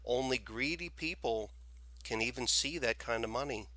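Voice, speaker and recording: Man playing an unhurried, crisp old storekeeper. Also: medium pitch, bright, forceful tone, dry recording, close to an everyday voice. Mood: stern, measured. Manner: unhurried, measured, admonishing. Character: calm, stern, knowing old male storekeeper. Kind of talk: storybook character voice.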